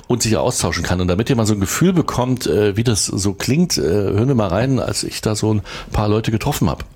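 A middle-aged man from East Germany hosts a relaxed radio conversation. He talks at a quick clip in a lowish voice and steers things along with short questions. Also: slight background noise. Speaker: radio host and interviewer. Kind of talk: casual radio chat.

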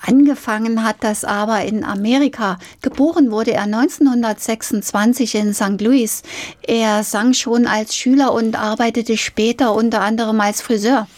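A woman presenting a radio history feature, asking questions and reading the background in a measured way. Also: fairly high pitch, steady tone, a little mellow, no room sound. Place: radio studio. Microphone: studio mic.

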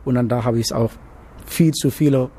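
A young man from Senegal with a Senegalese accent, answering casually in halting non-native German in a noisy location interview. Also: mid-range voice, steady tone, warm tone, husky. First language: not German, learned it as an adult. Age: young adult. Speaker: interviewee, trainee cook.

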